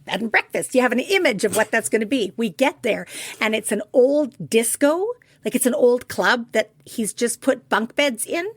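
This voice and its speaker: A woman's voice: a little high, expressive, normal speed, no room sound. Middle-aged woman hosting over a video call. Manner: friendly interviewer, tells anecdotes. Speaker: podcast co-host. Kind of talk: casual chat.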